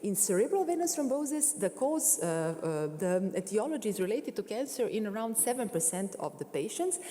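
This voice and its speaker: A woman giving a medical conference lecture at a podium microphone, often pausing on fillers and correcting herself as she explains her slides. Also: medium pitch, big pitch swings, mellow. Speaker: conference speaker. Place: large concert hall. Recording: long hall reverb.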